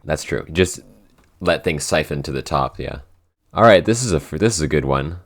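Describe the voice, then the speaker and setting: A man's voice: extremely low pitch, animated, moderate pace. A man co-hosting a casual podcast Q&A, talking hesitantly with many restarts and fillers. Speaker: podcast head writer and editor, co-host.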